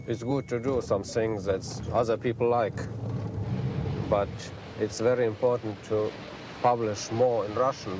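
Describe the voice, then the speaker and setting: A man giving a documentary interview, speaking haltingly and searching for words in a second language. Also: fairly low pitch. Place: home in Estonia. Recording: noisy old documentary film sound.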